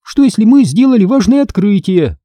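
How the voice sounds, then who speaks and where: A man voicing an excitable, indignant young girl in a storybook, insistent and exclamatory. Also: sits high, fairly quick, no room sound, clean studio sound, voice pushed up.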